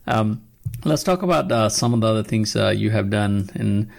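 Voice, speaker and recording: A young man from Nepal with a low, heavily gravelly voice hosts a casual interview. He asks his questions haltingly, often restarting mid-sentence. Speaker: podcast host.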